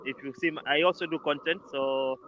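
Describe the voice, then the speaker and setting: A man's voice: fairly high pitch, fairly even intonation, a little fast, sharp tone. Kenyan man on a muffled, noisy call. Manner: hesitant, many restarts and fillers. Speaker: caller. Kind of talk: casual call-in chat. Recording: dull lo-fi call with clear background noise.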